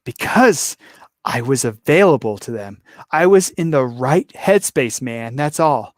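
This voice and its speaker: A hoarse man reading a calm, gleeful male serial killer in custody, slow, low and matter-of-fact. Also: no echo, studio quality, close to an everyday voice.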